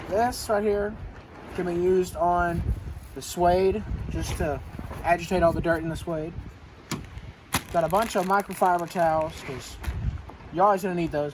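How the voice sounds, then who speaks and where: A man's voice: a little high, background noise. An American man hosting a vlog, talking in a casual, folksy, hands-on way as he narrates what he is doing. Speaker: vlog host. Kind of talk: casual car-project vlog chat.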